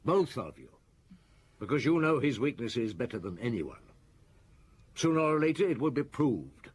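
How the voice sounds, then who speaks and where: An actor playing a weary, relentless middle-aged chief inspector in a radio detective drama, speaking formally and deliberately. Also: male voice, medium pitch, big pitch swings, breathy edge. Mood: stern.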